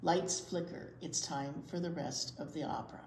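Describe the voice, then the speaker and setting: An American woman reading aloud at a storytime for young children, expressive, with drawn-out words and chatty asides. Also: low-pitched. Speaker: children's librarian and storytime reader. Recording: roomy echo, faint background noise.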